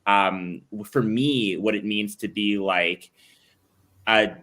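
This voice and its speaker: Man in his twenties, low-ish voice, bright tone, panelist, lots of like. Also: fairly even intonation, slow. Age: 20s. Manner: searching, full of "like". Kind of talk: casual panel discussion.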